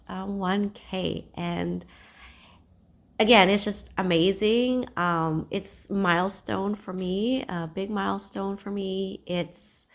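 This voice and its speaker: A young woman hosting a knitting podcast from home. She is hoarse from a cold and talks gently to camera, with thoughtful pauses. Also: mid-range voice. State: hoarse, losing her voice from a cold. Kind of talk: casual chat to camera. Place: at home, seated.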